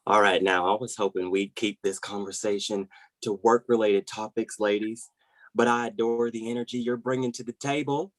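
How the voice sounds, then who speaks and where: An actor playing an effusive, rambling, over-eager team manager, who stumbles and repeats himself, in a comedy web series. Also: male voice, lowish voice, steady tone, normal speed, no echo, close to an everyday voice, poor audio. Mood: placating.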